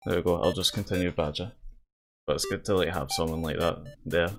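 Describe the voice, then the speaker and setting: Male let's player, low register, casual and halting delivery, game music clearly behind the voice. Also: steady tone. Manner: hesitant, halting, rambling. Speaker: let's play host. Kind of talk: casual gaming commentary, reading dialogue aloud.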